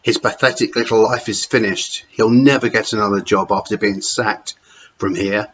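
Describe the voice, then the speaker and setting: A man's voice: fairly low pitch, steady tone, normal speed, a bit bright. A man voicing a cruel, scornful female hospital matron with a sneering manner. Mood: harsh. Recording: dull lo-fi recording.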